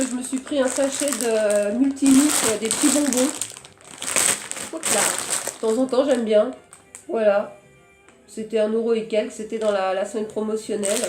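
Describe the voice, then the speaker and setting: A hoarse woman chatting casually about her shopping haul and crafts, often pausing to search for words. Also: mid-range voice, a little mellow, echoey room. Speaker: crafting vlogger.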